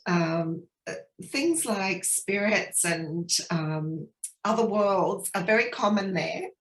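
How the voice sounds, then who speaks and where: A woman from Sri Lanka speaks gently and earnestly, her thoughts flowing with soft restarts as she explains her spiritual work. Her voice sits at a middle pitch. It comes over a video call from a room with a noticeable echo. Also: expressive, slow. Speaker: guest, spiritual healer. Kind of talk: casual interview chat.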